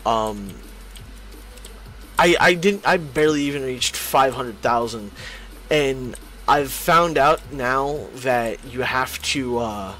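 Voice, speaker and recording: A man talking casually to viewers while he draws, his voice hoarse and strained from hours of talking and his thoughts rambling along as he goes. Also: mid-range voice, slow pace, pushed and bright, soft background music. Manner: rambling, thinks aloud. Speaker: YouTuber drawing on stream. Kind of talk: casual commentary while drawing.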